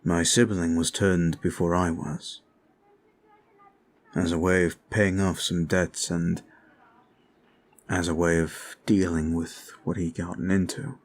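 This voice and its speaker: A man playing a calm, courteous, protective vampire in an ASMR roleplay, soft-spoken and halting, with formal phrasing. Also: sits low, slight background noise. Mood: grave, confiding. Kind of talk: ASMR roleplay audio drama.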